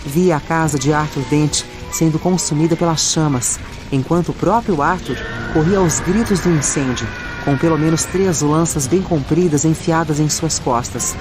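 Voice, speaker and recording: A woman's voice: sits low, moderate pace, hardly any pauses. A woman with a Brazilian accent reading scripted audiobook narration. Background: music and added sound effects.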